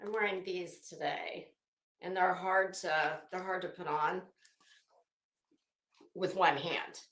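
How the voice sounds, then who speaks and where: A woman's voice: lowish voice, steady tone, slow, a bit bright. A woman hosting a casual livestream, rambling and interrupting herself as she hunts for words, with dramatic, emphatic storytelling. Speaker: livestream host. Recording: roomy, echoing room sound.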